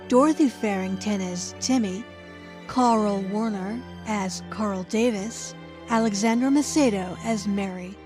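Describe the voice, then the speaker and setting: A woman's voice: on the high side, darkish tone. A woman announcer reading scripted closing credits for a radio theater program. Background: music.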